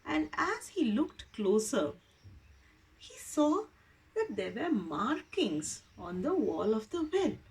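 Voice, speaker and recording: A woman's voice: on the high side, big pitch swings, slow. A woman storyteller narrating informally, repeating words and phrases for emphasis.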